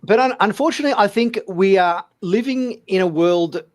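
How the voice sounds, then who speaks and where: A man's voice: sits high, moderate pace. A man hosting a casual panel discussion, speaking hesitantly and often repeating words and phrases as he goes. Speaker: host of a fan talk show.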